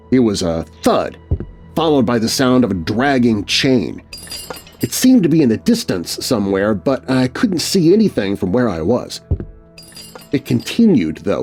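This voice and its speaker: A man's voice: mid-range voice, darkish tone, breathy edge. A man narrating a scripted scary story in a dramatic, suspenseful way.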